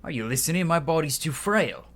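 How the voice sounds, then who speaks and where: A man voicing a brash, flirty, flippant male game character in a loud, teasing manner. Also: on the high side, lively intonation, brisk, voice pushed up. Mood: cocky, pushy.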